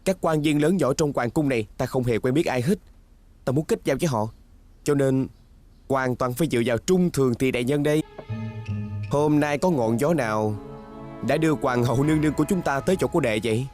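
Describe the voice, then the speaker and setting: A man's voice: medium pitch, fairly quick, darkish tone, soft background music, natural voice. A man dubbing a scheming young nobleman in an ancient court drama, with a formal, courtly, insinuating manner. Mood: smugly courteous. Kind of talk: Vietnamese dubbing of costume drama.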